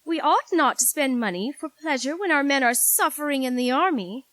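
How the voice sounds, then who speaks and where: High, lilting, proper young eldest sister played by a woman. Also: expressive, normal speed, a bit bright, no echo. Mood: earnest. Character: sensible eldest sister, young genteel governess. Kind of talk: 1940s-style radio drama acting.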